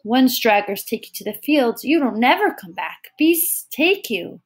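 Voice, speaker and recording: Woman voicing a high-pitched, waifish toddler girl. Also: very animated, a little slow, slightly rough, exaggerated voice. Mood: earnest. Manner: childish ungrammatical dialect speech. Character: frightened, feisty tiny toddler girl with rustic speech. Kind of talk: audiobook character voice.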